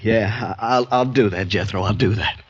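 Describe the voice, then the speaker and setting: A man's voice: lowish voice, moderate pace, loud music behind the voice, natural voice. An actor playing a nervous, guilt-haunted man on the run who stammers as he speaks, in 1940s radio acting. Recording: muffled old radio broadcast with faint hiss.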